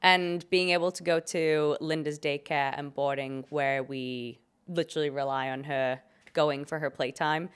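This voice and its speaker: A young British woman hosting her own podcast in a relaxed, chatty, jokey way, her voice hoarse from a cold. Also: low-pitched, unhurried. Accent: British English. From Britain. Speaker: podcast host. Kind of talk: casual chat.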